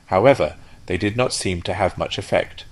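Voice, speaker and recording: A man's voice: sits low, normal speed. A man voicing a peaceable, chatty female duck with a raised, quacking voice.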